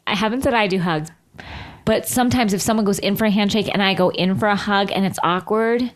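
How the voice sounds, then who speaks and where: A woman's voice: medium pitch, fairly quick. A woman co-hosting a podcast in casual chat, recapping reality TV, often repeating and restarting her phrases as she goes. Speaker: podcast co-host.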